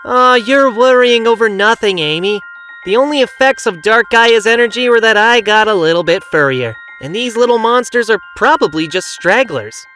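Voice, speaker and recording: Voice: mid-range voice, lively intonation, normal speed, pushed and bright, soft background music. An actor playing a cocky, carefree young male hedgehog hero with a breezy, boastful manner in a fan audio drama. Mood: cocky, dismissive.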